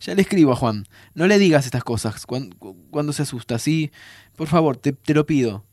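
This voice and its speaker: An actor with an Argentine accent playing an anxious, guilt-ridden young time traveler, agitated and hesitant, in naturalistic audio drama. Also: male voice, fairly high pitch, lively intonation, a little fast, a little mellow, a little hiss or hum, lightly acted. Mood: apologetic, evasive.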